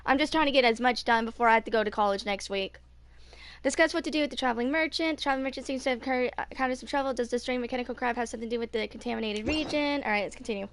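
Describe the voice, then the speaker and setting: Casting: woman in her twenties, high bright voice, halting casual streamer chat. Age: 20s. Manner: halting, repeats herself.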